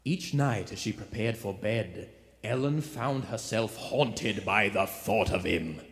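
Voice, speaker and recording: A man's voice: lowish voice, slow pace. A man playing the dramatic narrator of a suspense radio play, theatrical in manner. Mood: somber. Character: dramatic storyteller of a suspense thriller. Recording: live theater hall with music.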